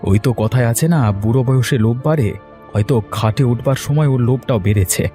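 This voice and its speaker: A hot-headed young man of the village acted by a man in a cartoon tale. He blusters and accuses, set on revenge. His tone is dark and mellow, with music playing behind him. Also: medium pitch, quick pace, natural voice. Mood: irritated. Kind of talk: cartoon story dialogue. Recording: music under the voice.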